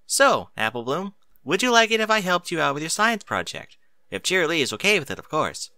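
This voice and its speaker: A man's voice: on the high side, big pitch swings, brisk, bright, forceful tone, clear, resonant tone, no echo, clean studio sound, voice pushed up, heavily acted. A man voicing a studious female pony, helpful and a wry know-it-all, speaking in a precise, bookish way. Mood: encouraging.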